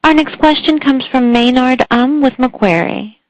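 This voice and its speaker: High-voiced female conference call operator. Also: moderate pace. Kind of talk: scripted announcement. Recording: dull, dry phone line.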